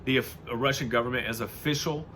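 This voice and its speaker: A man's voice: mid-range voice, fairly even intonation, a little fast, sharp tone, a little hiss or hum. An American man reading aloud and adding casual, rambling, informal commentary in a home-made recording. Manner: rambling, informal, loses his thread. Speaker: reader and commentator.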